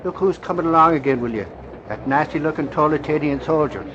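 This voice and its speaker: An actor playing a boastful, gullible, scrappy Irish newspaper reporter in old-time radio drama, blustering and exclamatory. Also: male voice, on the high side, quick pace, highs cut off, natural voice. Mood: smitten, grumbling. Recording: noisy old radio recording.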